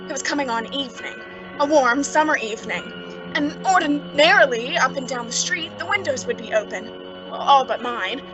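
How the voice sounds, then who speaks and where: An actress playing an anxious, reclusive spinster with a hesitant, fretful delivery in an old-time radio suspense drama. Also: female voice, high voice, lightly acted, poor audio. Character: anxious reclusive spinster, the town's queer one.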